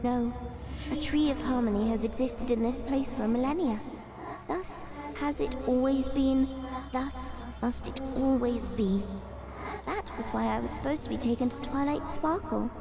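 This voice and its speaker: A woman's voice: high voice, moderate pace. A woman playing a wise, formal seed spirit, speaking in a solemn, oracular way in an audio drama. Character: wise, solemn female magical seed spirit, ancient yet newborn. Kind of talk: fan audio drama acting.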